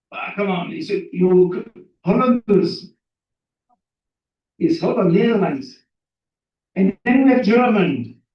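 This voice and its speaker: A man from Belgium, a native French speaker with a French accent, gives a motivational talk in simple English, repeating phrases for emphasis and putting questions to listeners. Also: sits high. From Belgium, born in Burundi. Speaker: seminar guest speaker. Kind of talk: motivational talk to an audience, telling own life story. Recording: very echoey room, distant mic, muffled.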